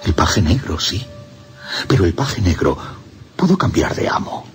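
An actor playing a tormented priest confessing forbidden passion in a radio horror drama, with a halting delivery that drops into breathy whispers. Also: male voice, low-pitched, big pitch swings, faint music behind. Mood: agitated, anguished.

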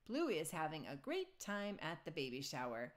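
A woman reading a children's picture book aloud in an expressive storytelling style. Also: low-pitched, normal speed.

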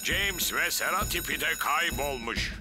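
Lively older officer, gently pompous. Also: male voice, on the high side, unhurried. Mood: brisk, authoritative. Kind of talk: Turkish cartoon dub acting. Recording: music under the voice.

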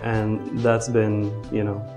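A man's voice: fairly low pitch, monotone, slow pace, vocal fry, over music. A man in his twenties, originally from Lebanon, talking earnestly in an office interview with some fillers and restarts. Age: 20s. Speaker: law student volunteer. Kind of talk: interview to camera. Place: indoor office.

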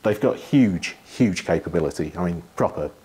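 A middle-aged man with a husky voice, giving a technical explanation in an interview, pausing thoughtfully as he phrases things. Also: low-pitched, expressive, warm tone. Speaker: loudspeaker engineer being interviewed. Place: living room with wood panelling and large window.

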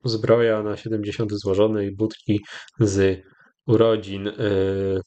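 A Polish man hosting a gaming channel, giving casual, rambling commentary with hesitant, drawn-out pauses. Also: on the low side, little pitch movement, dark tone, highs cut off. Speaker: YouTube gaming host. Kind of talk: casual commentary over gameplay.

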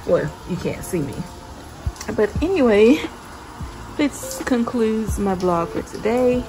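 A woman's voice: fairly high pitch, animated, slow pace, over music, rough recording. A woman in her thirties chatting informally in a casual home vlog, recorded indoors on a handheld phone. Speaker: vlogger.

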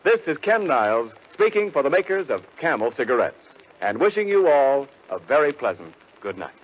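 A man's voice: mid-range voice, sing-song, moderate pace, background noise. An American man announcing on 1940s radio, reading scripted copy with a polished, emphatic salesman's delivery. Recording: muffled 1940s radio broadcast.

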